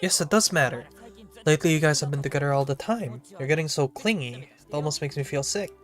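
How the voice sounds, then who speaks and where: A man's voice: fairly high pitch, fast talker, faint music behind, close to an everyday voice. A man doing a pushy, needling teenage classmate. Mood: teasing. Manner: needling, loud, teasing.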